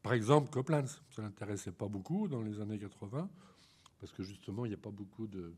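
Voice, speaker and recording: A man with a bad head cold giving an informal lecture on a photograph, talking slowly with many ums and restarts. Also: fairly low pitch, a little mellow, slightly rough.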